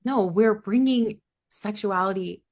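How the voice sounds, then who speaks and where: A woman's voice: mid-range voice, unhurried, darkish tone. A woman therapist speaking as a podcast guest, earnest and enthusiastic, often restarting her phrases. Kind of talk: conversational interview.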